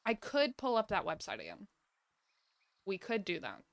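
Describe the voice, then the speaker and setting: A woman's voice: medium pitch, huge pitch swings, normal speed, creaky, no echo. A woman doing casual live gaming commentary, chatting and thinking aloud as she plays. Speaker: game streamer.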